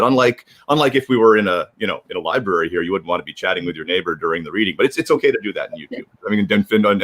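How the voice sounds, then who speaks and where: A man with a lowish voice hosts a livestream. He talks very quickly and informally, rambling through casual announcements and circling back over his own phrases. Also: fairly bright tone. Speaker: livestream host. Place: remote video livestream.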